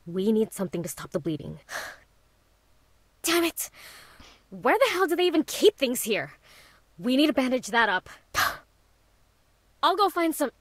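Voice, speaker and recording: A woman's voice: fairly low pitch, quick pace, dark tone, no room sound. A woman voicing a tough, guarded but kind teenage girl in a visual novel, with a blunt, sardonic delivery. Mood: alarmed.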